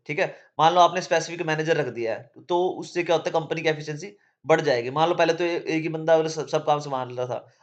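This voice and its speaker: A man's voice: a little high, lo-fi sound. A male teacher with a sore throat, explaining economics to students in a casual way, often checking in with "theek hai". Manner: casual, repeats "theek hai" between points.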